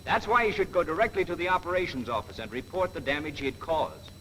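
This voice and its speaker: A man's voice: on the high side, expressive, fast talker, slight background noise, low-quality recording. A man playing a wisecracking, folksy narrator in a 1940s training film, telling cautionary tales with slangy, wry, theatrical delivery. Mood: wry, admonishing. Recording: old 1940s film soundtrack, loud music behind.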